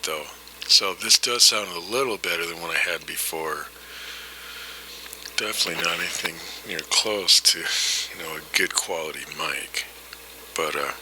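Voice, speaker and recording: Faint noise sits around a man's low-pitched, very bright and forceful voice. He talks off the cuff like a hobbyist showing his gear, wandering from thought to thought in a tentative, self-deprecating way. It sounds captured on a vintage recorder microphone. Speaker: hobbyist vlogger demonstrating vintage audio gear. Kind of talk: casual unscripted demonstration, thinking aloud. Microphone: old reel-to-reel recorder microphone. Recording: noisy vintage tape-recorder microphone, hiss.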